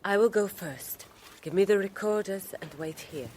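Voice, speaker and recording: A woman's voice: medium pitch, moderate pace, a little mellow, lightly acted. A woman playing a cool, formal aide to guerrillas in a radio drama, speaking. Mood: cool, brisk. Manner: formal, composed. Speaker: actor playing a guerrilla's go-between.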